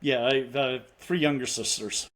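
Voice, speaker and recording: A man's voice: medium pitch, moderate pace, a bit bright. Middle-aged man with a Philadelphia accent. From Pennsylvania. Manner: rambling, with frequent restarts and fillers. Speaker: podcast guest. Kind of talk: casual interview conversation.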